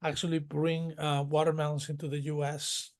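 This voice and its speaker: A man speaks as an interview guest. His voice sits fairly high for a man. He winds through his memories in long, detailed stretches, doubling back and restarting his sentences as he goes. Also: little pitch movement, moderate pace, a little mellow. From northern Mexico. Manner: rambling, detailed storytelling with many restarts. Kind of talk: conversational interview.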